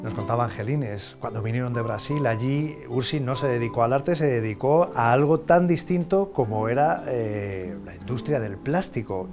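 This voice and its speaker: A male interviewer guides the conversation, neatly summing up what his guest says. His voice sits at a middle pitch. Guitar music runs clearly behind him. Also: a little mellow. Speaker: interviewer, local TV reporter. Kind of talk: TV interview questions and summaries.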